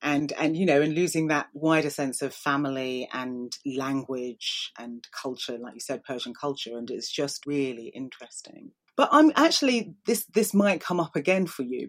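A woman's voice: extremely low pitch, normal speed, few pauses. A woman interviewing a poet, encouraging and lightly teasing as she asks her questions.

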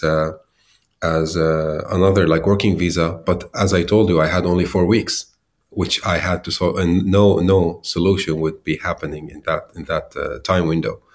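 Man from syria, really deep voice, startup founder telling his story, lots of uhs and restarts. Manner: hesitant, many fillers and restarts. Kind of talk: interview answers.

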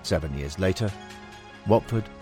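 Low-voiced man narrating, lively range. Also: unhurried, over loud music. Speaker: audiobook narrator. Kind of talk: scripted narration of a sports history book.

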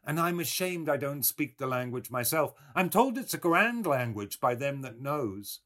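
Male reader as elderly Irish milkwoman, literary narration. Deferential, hesitant, noticeably higher pitch. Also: very animated, fairly bright tone. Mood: earnest, deferential. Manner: deferential, halting. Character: humble old Irish milkwoman, deferential and halting.

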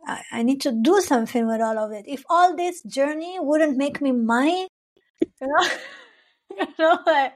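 A woman's voice: sits high, moderate pace. Young woman singer, not an english speaker, rambly and laughy, lots of likes. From Israel-Palestine. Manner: warm, searching for words, frequent "like" and "you know", laughs often. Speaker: singer, guest. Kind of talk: casual conversational interview.

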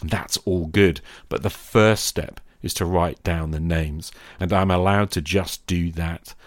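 A man's voice: low voice, fairly even intonation, measured pace, no room sound, studio quality. A man speaking as a podcast host about tabletop roleplaying, addressing the listener directly and encouragingly.

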